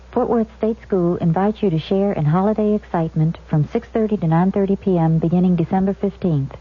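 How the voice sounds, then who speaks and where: Woman, local radio announcer, slightly low pitch, scripted calendar read, narrow tinny vintage sound. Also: breathy edge. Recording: tinny, narrow-band old radio broadcast.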